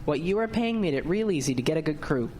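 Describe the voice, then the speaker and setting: A woman's voice: low-pitched, animated, fast talker, darkish tone, a little hiss or hum. A woman playing a stubborn construction foreman in an audio drama, talking in a blunt, folksy, colloquial way. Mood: easygoing. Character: plain-spoken, stubborn construction foreman named Jeff.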